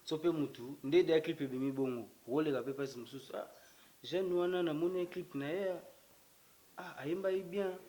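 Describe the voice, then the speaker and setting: A man's voice: a little high, steady tone, normal speed, mellow. A man interviewing a rapper in a loose, chatty way. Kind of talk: casual interview questions.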